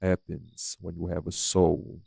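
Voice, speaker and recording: Man playing a low, growly vampire. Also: monotone, measured pace, vocal fry, mellow, a little hiss or hum, exaggerated voice. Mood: dry, blunt. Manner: blunt, drawling, taunting, colloquial. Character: rough, defiant old vampire, devil of New Orleans. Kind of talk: dramatic audio roleplay acting.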